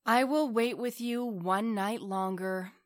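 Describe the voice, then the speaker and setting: A woman's voice: a little high, slow. A woman voicing a small, kind-hearted, devoted male swallow in a storybook, speaking earnestly and tenderly. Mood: devoted, reluctant. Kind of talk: storybook character voice.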